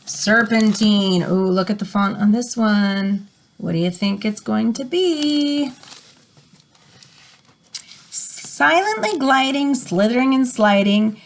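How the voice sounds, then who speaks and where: Female educator, expressive picture-book read-aloud with teaching asides. Slightly high pitch, swooping melody, dramatic stresses. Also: slow pace, slight background noise. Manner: animated, dramatic emphasis.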